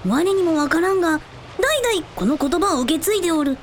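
A very high, lively young dragon prince for a radio drama. He is earnest and boyish, talking in quaint lordly old-fashioned phrases with childlike openness. There is noticeable noise behind the voice. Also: brisk. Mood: kindly, proud. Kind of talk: Japanese radio drama acting.

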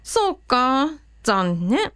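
A woman's voice: sits high, big pitch swings, very slow, fairly bright tone, clear, resonant tone, exaggerated voice. A woman playing a friendly, curious and courteous village woman in an amateur radio drama.

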